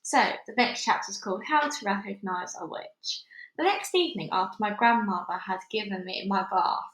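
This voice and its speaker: A woman's voice: mid-range voice, fairly quick, a bit bright. A woman reading a storybook aloud, with casual asides as the reader on her channel. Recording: roomy, echoey home recording.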